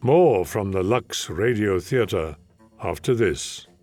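Male radio announcer, deep voice, slow scripted delivery, music underneath. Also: expressive, dark tone.

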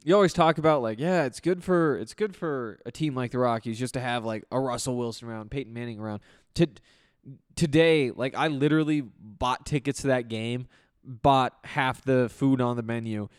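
A man's voice: mid-range voice, smooth, no echo, clean studio sound. A man hosting a sports podcast in a casual, rambling way, his voice still recovering after losing it. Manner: rambling, conversational. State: recovering from voice loss; allergy flare-up. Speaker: podcast host.

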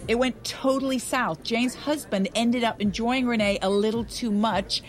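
An actress playing a gossipy, sardonic, theatrical friend in a comedic audio drama. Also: female voice, fairly high pitch, steady tone, normal speed, natural voice. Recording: background music under dialogue.

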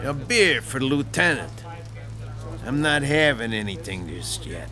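An actor with a Brooklyn accent playing a vain, self-taught mob boss of about thirty in a radio drama, who keeps correcting his own rough grammar. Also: medium pitch, very animated, unhurried. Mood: boastful. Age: 30s. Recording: radio drama with music behind.

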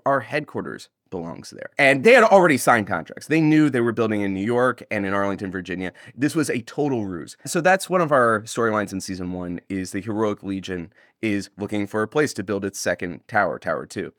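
A man's voice: on the low side, lively intonation, fairly quick, few pauses, fairly bright tone, no echo. Enthusiastic man chatting, dry close sound. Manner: enthusiastic, acts out lines. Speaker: audio drama creator, guest. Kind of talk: casual interview chat.

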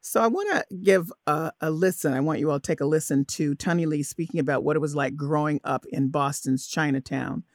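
A woman hosting a radio interview, with a creaky edge to her voice. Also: low-pitched, brisk, hardly any pauses, no room sound, studio quality. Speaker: radio host.

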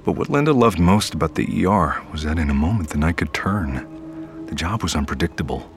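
Casting: male narrator, extremely low voice, scripted dramatic storytelling over prominent music. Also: expressive, fairly quick. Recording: clear music under the voice.

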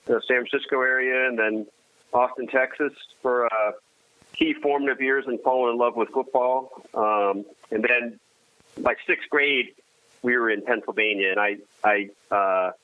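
A man, Armenian his first language, talking casually as a guest in a halting way with frequent restarts and dry self-deprecating humor. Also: medium pitch, fairly even intonation, dry recording. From United States. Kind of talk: casual conversation. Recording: dry tinny phone-like line.

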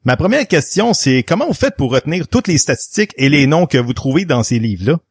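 A man's voice: a little high, sing-song, a little fast, breathy edge, dry recording. A man hosting a casual podcast, upbeat and jokey. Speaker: podcast host.

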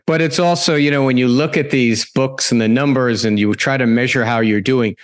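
A man's voice: medium pitch, normal speed, no echo. A middle-aged man hosting a talk podcast, chatty and rambling, often breaking off and restarting mid-sentence. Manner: rambling, self-interrupting, chatty. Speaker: podcast and radio host. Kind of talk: conversational hosting.

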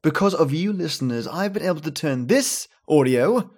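A man's voice: a little high, lively intonation, moderate pace, darkish tone. Theatrical male podcast host. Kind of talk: scripted podcast introduction.

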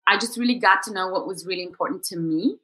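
A bright, clear-toned woman in her thirties, originally from Bulgaria, speaks as a guest on a podcast interview. She tells her story in an unhurried, reflective way, circling back with fillers like "you know" and "kind of." Her voice sits at an ordinary pitch for a woman but rings with a forward brightness. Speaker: guest, executive coach.

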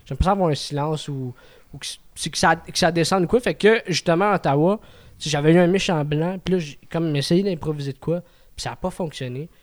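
A young man with a Quebec French accent hosting a casual podcast chat, hesitant and full of filler phrases. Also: a little high. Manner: hesitant, fills every pause with "tu sais". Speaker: podcast host.

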